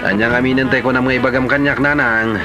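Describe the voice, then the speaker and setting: A man's voice: mid-range voice, fairly even intonation, fast talker, over music, lightly acted. A man acting as a suspicious, easily offended, scheming husband in an Ilocano comedy drama. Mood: insistent. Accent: Filipino, Ilocano.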